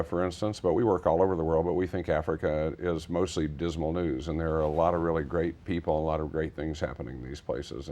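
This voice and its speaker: Very deep-voiced American man. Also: a little mellow. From Texas, United States. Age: middle-aged. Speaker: interview guest, nonprofit founder. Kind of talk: conversational television interview.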